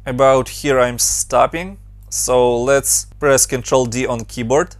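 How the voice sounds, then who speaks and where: A young adult man from Ukraine with a Ukrainian accent, giving brisk step-by-step narration for a software tutorial. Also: mid-range voice, dry recording. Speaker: motion design tutorial host.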